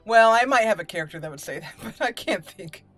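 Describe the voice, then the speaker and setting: A woman's voice: medium pitch, animated, a little fast, fairly bright tone, no echo, faint music behind. A woman hosting a roleplay let's play, switching between casual commentary and reading character dialogue aloud.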